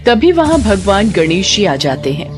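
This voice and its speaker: A woman's voice: medium pitch, sing-song, moderate pace, over music. A woman narrator reading a scripted devotional moral story.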